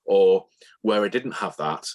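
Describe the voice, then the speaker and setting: A man speaking as a guest author in an interview, explaining things in a thoughtful, halting, conversational way. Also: low-pitched, expressive, darkish tone.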